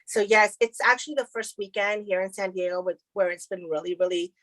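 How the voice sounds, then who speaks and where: A woman from El Salvador co-hosting a casual crochet podcast, telling stories in a chatty, animated way and laughing often. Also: mid-range voice, fairly quick, no room sound. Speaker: podcast co-host. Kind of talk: casual chat. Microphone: video call recording.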